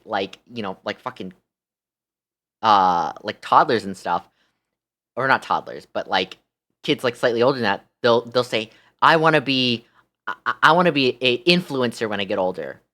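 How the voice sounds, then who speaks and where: Young American male streamer, sharp forward tone, casual chat that tips into animated, sweary exasperation. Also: medium pitch. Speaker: live streamer.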